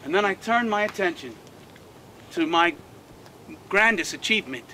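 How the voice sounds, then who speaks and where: A man's voice: high-pitched, lively intonation, moderate pace, bright, forceful tone, slight background noise, heavily acted, rough recording. A man playing a proud nineteenth-century park designer, telling his life story earnestly to a tour group outdoors. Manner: earnest, emphatic, addresses the crowd directly. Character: proud old Swiss-born park designer. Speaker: costumed tour guide, historical reenactor. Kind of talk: first-person living-history storytelling. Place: outdoors by a prairie on a walking tour.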